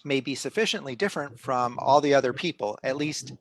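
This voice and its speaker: A middle-aged man hosting a live online stream, explaining the project in a relaxed way with many restarts and fillers. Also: mid-range voice, brisk, a little hiss or hum. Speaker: host of a live citizen-science stream.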